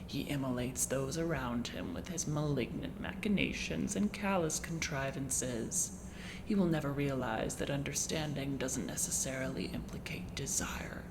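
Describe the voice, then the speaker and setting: A woman playing a grave oracle, solemnly intoning a prophecy in an audio drama. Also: low-pitched. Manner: solemn, incantatory, deliberate. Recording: clearly noisy ambient background.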